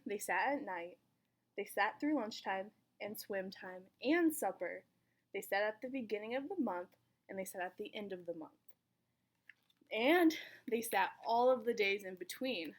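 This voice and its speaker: A woman reading a children's picture book aloud in an expressive storytelling manner, with dramatic pauses. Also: mid-range voice. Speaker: volunteer story reader.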